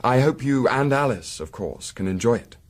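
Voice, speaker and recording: A man's voice: medium pitch, animated, natural voice. Guy acting a polite victorian gent in a radio play, careful and reticent, kinda formal. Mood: courteous, guarded. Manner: formal, measured, hesitant politeness. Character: discreet, courteous gentleman of the 1870s, reticent and tactful. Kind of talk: period radio drama acting.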